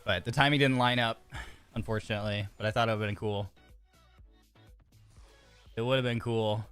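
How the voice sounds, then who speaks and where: A man's voice: fairly low pitch, steady tone, normal speed, fairly bright tone, smooth, faint music behind. A man streaming a card game, chatting casually and reading card text aloud, a little congested with a sore throat. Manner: chatty, reads card text aloud, frequent restarts and fillers.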